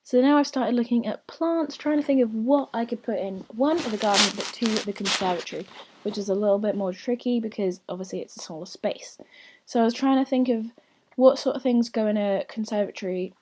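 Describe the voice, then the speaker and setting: A woman giving a casual, rambling voiceover about her building game, slightly croaky as she gets over losing her voice, with soft whispery asides. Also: on the high side, a little fast, noisy background. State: slightly croaky, recovering from lost voice. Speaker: gaming channel host.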